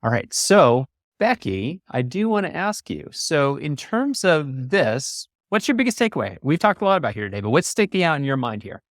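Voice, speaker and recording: A man's voice: a little high, very animated, normal speed, few pauses, dry recording, studio quality. A man hosting an interview in an upbeat, effusive and encouraging conversational way. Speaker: podcast host.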